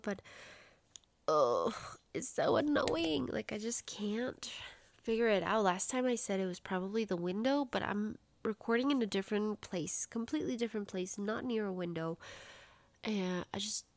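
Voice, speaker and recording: A woman in her late twenties hosts her own podcast and chats on in a bubbly, filler-heavy way. Her nose is stuffed up and congested, and her voice sits at an ordinary middle pitch. The sound is dry and close, with no room around it. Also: no room sound. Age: late 20s. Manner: chatty, bubbly, lots of "like" fillers. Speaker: podcast host. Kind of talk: casual solo podcast chat.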